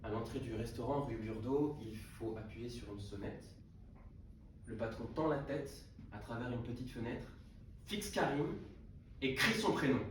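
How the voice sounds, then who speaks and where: A man reading a literary novel aloud at a book prize event, unhurried and with frequent hesitations and restarts. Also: medium pitch. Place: salon of a literary hotel. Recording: echoey room, distant mic, background noise.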